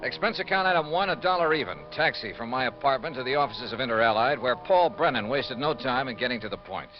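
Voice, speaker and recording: An actor playing a brisk, wry, hard-boiled insurance investigator with an American accent, in 1950s radio detective style. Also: male voice, mid-range voice, expressive, music in the background, natural voice. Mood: crisp narrating. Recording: muffled vintage radio recording.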